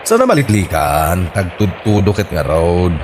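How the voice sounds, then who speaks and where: A man's voice: sits low, wildly swooping pitch, a little slow, noisy background, heavily acted. A man playing a plain-spoken, mocking village neighbour in an Ilocano comedy drama, with an Ilocano accent. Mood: teasing.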